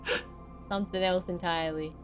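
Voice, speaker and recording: A woman's voice: lowish voice, steady tone, a little fast, soft background music. A woman co-hosting a casual gaming podcast, mostly chiming in with short agreements and dry quips. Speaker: podcast co-host.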